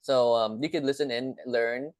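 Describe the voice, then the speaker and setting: A man of middle pitch chats casually as a tutor over a video call. He often repeats phrases and fills pauses with "uh" and "um" while he talks. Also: steady tone, darkish tone, no echo. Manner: friendly, filler-heavy, repeats himself. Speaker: chemistry tutor hosting office hours. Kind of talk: casual chat.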